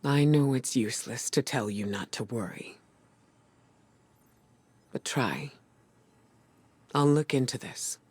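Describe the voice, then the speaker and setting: A woman voicing a solemn, steady commander in a video game, calm and deliberate, with a breathy, husky voice. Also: very deep voice, full character voice. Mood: firm, reassuring. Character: solemn, steady female commander offering reassurance.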